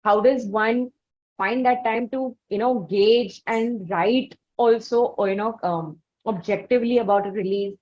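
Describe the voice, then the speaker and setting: A woman hosting a conversational interview, speaking in wordy, formal, deliberate phrases. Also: fairly high pitch, a little mellow, lo-fi sound. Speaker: interviewer, podcast host.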